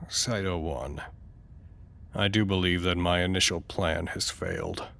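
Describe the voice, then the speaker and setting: An actor playing a tired, self-conscious research doctor in an audio drama, rambling and stammering when flustered. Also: male voice, sits low, fairly even intonation, measured pace, gravelly, fairly bright tone, exaggerated voice. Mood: weary, reflective. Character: weary, petty but good-natured male research doctor. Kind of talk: audio drama acting, log monologue.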